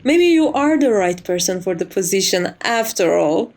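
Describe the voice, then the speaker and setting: A woman playing a polite, devoted maid to a vampire mistress in an ASMR roleplay, formal and composed with quiet menace. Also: mid-range voice, animated, moderate pace, lightly acted. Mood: pleased, devoted.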